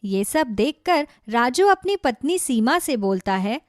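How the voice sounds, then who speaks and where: Woman, cartoon story narrator, scripted delivery, higher-pitched, very dry sound. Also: sing-song, measured pace, no room sound.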